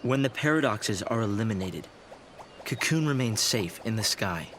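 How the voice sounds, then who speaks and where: An actor playing a thoughtful, idealistic young researcher and leader in a video game, explaining in a measured way. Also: male voice, fairly low pitch, lively intonation, a bit bright, slight background noise. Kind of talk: video game cutscene acting.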